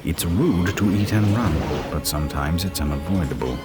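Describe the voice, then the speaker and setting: Very deep, sly sardonic cat. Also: male voice, lively intonation, loud music behind the voice. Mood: sly, dry. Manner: dry, measured, aphoristic. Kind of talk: scripted video game dialogue.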